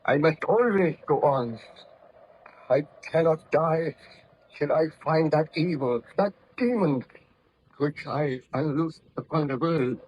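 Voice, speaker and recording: An actor playing a weak, driven baron in an old radio drama, speaking in formal, archaic phrases with anguish. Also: male voice, on the high side, slow pace, husky. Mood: weary, anguished. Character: weak, driven baron rescued from the Arctic ice. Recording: dull old radio recording.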